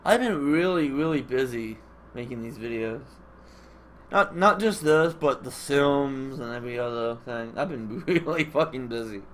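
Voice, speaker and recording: A man's voice: mid-range voice, lively intonation, normal speed, fairly bright tone. A man with a nasal, congested voice giving casual, rambling commentary as he hosts a gaming video, musing aloud. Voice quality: nasal, stuffy nose. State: congested nose. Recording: clear background noise on mic.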